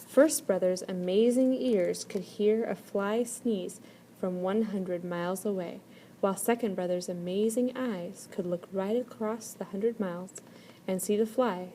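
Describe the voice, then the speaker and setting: A woman reading a children's story aloud as an expressive storyteller. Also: medium pitch, low-quality recording. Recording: clear background noise.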